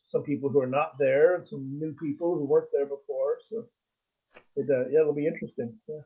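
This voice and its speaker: A man's voice: a little high, fairly even intonation, a little fast, husky. A man co-hosting a podcast in casual chat, recorded in dull lo-fi audio.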